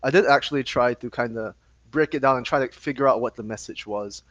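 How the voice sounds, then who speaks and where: A young man from Malaysia co-hosting a podcast, talking fast in a casual, sarcastic way and laughing often. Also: medium pitch, a bit bright, no echo, dull audio. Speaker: podcast co-host. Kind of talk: casual chat.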